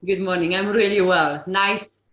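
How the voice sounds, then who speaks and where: A woman's voice: fairly low pitch, fairly even intonation, unhurried, fairly bright tone, reverberant, muffled recording. A middle-aged woman whose first language is not English, frankly telling her career story in an interview, with frequent ums and restarts. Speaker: estate agency owner, guest.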